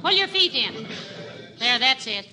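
A woman playing a good-humored, sensible middle-aged wife, gently teasing, in 1940s radio comedy. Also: sits high, sing-song, a little slow, music in the background, low-quality recording. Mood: brisk, covering up.

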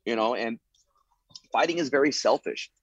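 Husky man in his thirties, lo-fi. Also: mid-range voice, moderate pace, dry recording. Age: 30s. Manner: earnest, frequent "you know" fillers. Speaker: former fighter turned coach. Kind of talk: interview answers, reflective storytelling.

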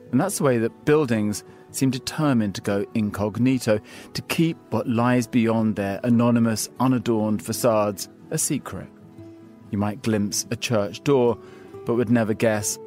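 A man's voice: lowish voice, darkish tone. Man narrating a wry travel essay. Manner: measured, wry storytelling. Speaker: columnist reading his piece. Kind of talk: scripted narration.

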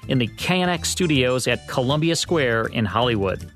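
A man's voice: mid-range voice, expressive, moderate pace, a bit bright. An American man reads scripted narration as a podcast co-host, in a mid-range voice. Music plays behind him as he presents history in the manner of a radio host. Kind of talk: scripted narration about old-time radio.

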